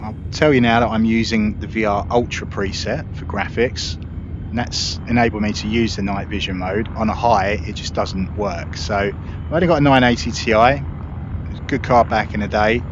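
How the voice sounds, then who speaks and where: Male gaming host, easygoing explainer, recording with clear background noise. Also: fairly low pitch, moderate pace, dull audio. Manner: relaxed, explanatory, self-deprecating. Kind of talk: gameplay commentary.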